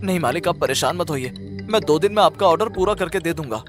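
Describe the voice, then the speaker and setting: A man's voice: a little high, animated, fast, faint music behind, natural voice. A man voicing a humble, earnest poor villager in a cartoon story, respectful and easily distressed. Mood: earnest, pleading. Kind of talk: Hindi cartoon story acting.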